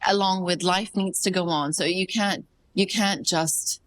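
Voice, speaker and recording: A woman in her thirties with a lowish voice hosts a relaxed podcast interview. She is effusive and grateful, and she leans on warm praise. Her delivery sounds chatty and friendly. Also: measured pace. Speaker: podcast host. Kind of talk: casual interview.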